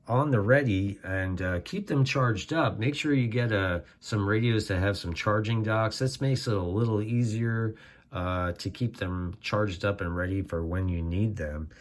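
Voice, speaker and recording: A man giving a casual, plain-spoken explainer as a video host, talking in a halting, rambling way. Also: lowish voice. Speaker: amateur radio video host. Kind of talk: casual informal explainer to camera.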